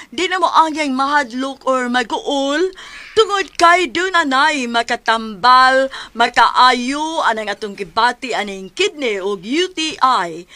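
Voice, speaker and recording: A female product announcer with a Cebuano accent and a high, bright voice. She speaks slowly and deliberately, stressing each instruction of her pitch. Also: no room sound.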